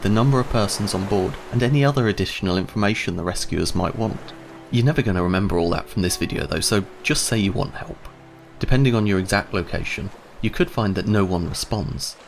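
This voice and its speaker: A man's voice: on the low side, gravelly. A man giving a scripted instructional narration, explaining step by step in a conversational way.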